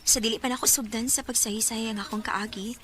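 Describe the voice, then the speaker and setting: A woman's voice: on the high side, steady tone, a little fast, natural voice. A woman playing an earnest young daughter in a Bisaya radio drama, with a Cebuano Filipino accent. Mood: soft, sincere. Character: earnest young daughter, female letter sender Honey.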